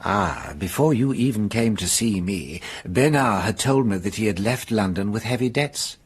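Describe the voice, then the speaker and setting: An actor playing a shrewd detective in a radio drama, explaining a case in a measured, amused manner. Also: male voice, on the low side, animated, breathy edge. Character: shrewd French police detective, Inspector Hanaud.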